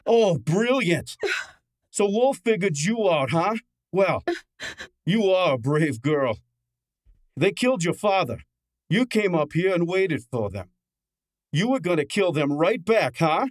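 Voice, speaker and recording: An actor playing a brash, wisecracking detective's assistant in an old-time radio drama, exasperated and sardonic. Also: male voice, high voice, expressive, measured pace, pauses often, no room sound, studio quality. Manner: exasperated, sardonic, hard-boiled.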